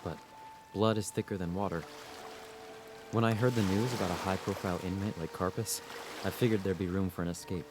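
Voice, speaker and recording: Man playing an earnest deep-voiced young grandson, music behind. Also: fairly even intonation, fairly quick, natural voice. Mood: resolute. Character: earnest young prison worker, loyal grandson on the run. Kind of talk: radio drama acting.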